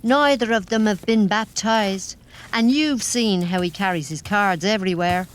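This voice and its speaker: A pious, doom-saying country servant woman, played by an actress, ominous and scolding. She speaks emphatically at a medium pitch in gothic audio drama style, with faint noise behind her. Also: moderate pace, natural voice. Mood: scandalised.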